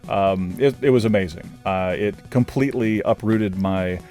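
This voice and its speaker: Rambling low-voiced man reviewing films. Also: normal speed, faint music behind. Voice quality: says his own voice is normally monotone. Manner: rambling, self-deprecating, fillers and restarts. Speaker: movie reviewer. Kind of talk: casual rambling film review.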